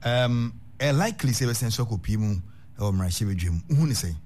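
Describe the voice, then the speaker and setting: A man's voice: fairly low pitch, lively intonation, quick pace, a little mellow. A Ghanaian-accented man hosting a call-in radio discussion, mostly giving brief backchannel replies to his guest. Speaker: radio host.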